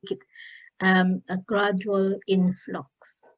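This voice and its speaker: A Nigerian woman hosting a panel discussion, speaking thoughtfully with many fillers and pauses. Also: mid-range voice, monotone, lo-fi sound. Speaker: host.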